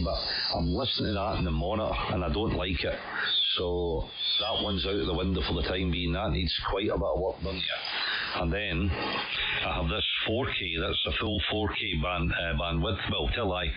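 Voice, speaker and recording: A man's voice: sits low, fairly even intonation, normal speed, muffled recording. A man, an amateur radio operator, chatting amiably and casually over a single-sideband radio link. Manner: amiable, chatty, uses "mate". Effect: shortwave single-sideband radio transmission. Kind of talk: casual radio contact chat. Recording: band-limited ham radio signal, faint hiss.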